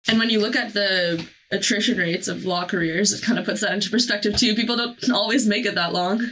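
A woman's voice: medium pitch, fairly even intonation, brisk, fairly bright tone, poor audio. A woman hosting an interview, talking in a casual, encouraging and affirming way. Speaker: podcast host interviewing applicants.

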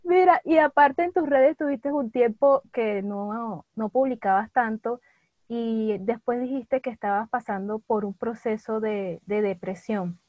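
A woman with a Venezuelan accent hosts a casual interview. She is informal and supportive, with a voice a little higher than most. The sound is very dry and slightly muffled, lacking some crispness in the top end. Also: a little slow, darkish tone, dry recording. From Valencia, Venezuela.

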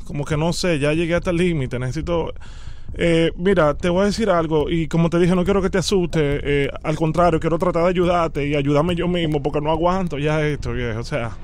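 A man's voice: fairly high pitch, a little hiss or hum. A man with a Dominican accent in an improvised prank phone conversation, pleading insistently and dramatically. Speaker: prank caller.

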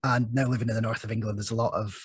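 A man from Scotland hosts a casual podcast chat from his home, talking fast in a chatty, self-deprecating way. His voice is husky and rather low. The sound is dry and close, with no room echo. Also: level tone. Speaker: podcast host, game master.

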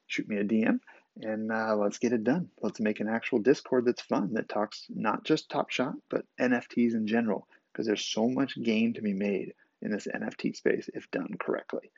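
A man hosting a casual solo podcast with a raspy, groggy voice, rambling with fillers and restarts. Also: fairly low pitch, moderate pace, a little mellow, no room sound, muffled recording. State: groggy after a vaccine. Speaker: podcast host.

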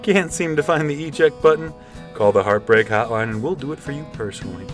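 A man's voice: medium pitch, expressive, fairly quick, lightly acted. A man playing a mock-sincere late-night love-show DJ, delivering parody radio announcements. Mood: salesy. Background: plucked guitar music.

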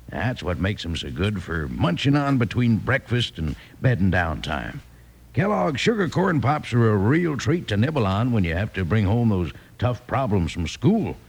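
An American man selling in a 1950s radio advertisement with a folksy cowboy drawl and a gravelly, husky voice. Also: lowish voice, big pitch swings, normal speed. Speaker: commercial pitchman.

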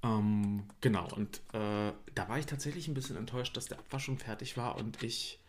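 Male podcast co-host, 30s, from East Berlin; relaxed, halting conversational delivery. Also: fairly low pitch, slight background noise. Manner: hesitant, many fillers. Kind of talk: casual chat.

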